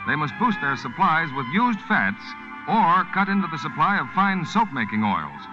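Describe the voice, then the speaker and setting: A man announces in classic 1940s radio advertising style. His voice is a little high and swings widely as he drives each point home. The recording is a dull old broadcast with music around it. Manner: emphatic salesman delivery.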